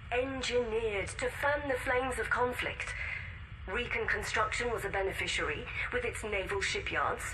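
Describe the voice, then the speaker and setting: A woman playing a grave, wronged explorer in a sci-fi game, solemnly and deliberately delivering an accusatory dramatic audio log. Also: mid-range voice, background noise, natural voice.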